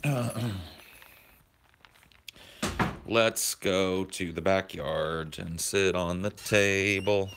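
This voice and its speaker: Young guy streaming casually, very low voice, crude rambling, faint noise behind. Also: drawn-out words, pauses often, a bit bright. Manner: rambling, crude, answers chat questions aloud. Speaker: livestream host. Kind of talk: casual livestream chat with viewers. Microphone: portable camera or phone carried around.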